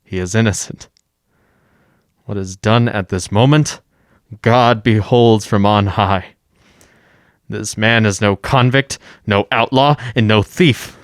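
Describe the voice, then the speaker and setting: An actor playing a dignified mayor with a convict past in a radio drama, solemn, deliberate and oratorical. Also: male voice, fairly low pitch, no room sound, studio quality. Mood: solemn, grave.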